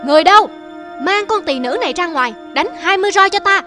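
A woman voicing a stern, suspicious rich male master in an animated fairy tale, bossy and accusing. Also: very high-pitched, fast, over music. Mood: furious, accusing.

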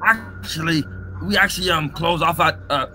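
A man's voice: fairly high pitch, normal speed, bright, forceful tone, a little hiss or hum. A man voicing a goofy, blabbermouth animatronic pirate fox in a casual game roleplay. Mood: blabbing. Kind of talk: improvised game roleplay acting.

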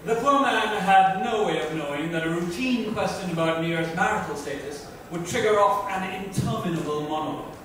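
High-pitched man narrator, echoey room. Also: a little fast, few pauses. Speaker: reader. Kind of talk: dramatic scripted book narration. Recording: echoey room, distant, noisy.

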